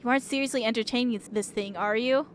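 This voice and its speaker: A stubborn young-cousin figure from a game, read by a woman, blunt and easily exasperated. The voice is fairly high, with some noise in the recording. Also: quick pace, lightly acted. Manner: blunt, indignant. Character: irritable, stubborn, protective female cousin.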